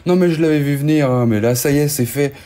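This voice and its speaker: A man's voice: on the high side, quick pace, warm tone. A man hosting a motorcycle news video, chatting casually with sardonic jokes, gloomy asides and lots of fillers. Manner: sardonic, joking, gloomy gravedigger tone.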